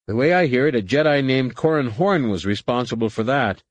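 A man's voice: mid-range voice, animated, fairly quick, no echo, voice pushed up, close to an everyday voice. A man voicing a nervous, patronizing older male co-pilot turned traitor in a space adventure audiobook. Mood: smug, coaxing.

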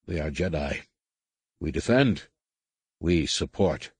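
A man reading aloud, voicing a calm, gentle older male master who speaks in a measured, mild way. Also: very deep voice, breathy edge, no room sound, heavily acted.

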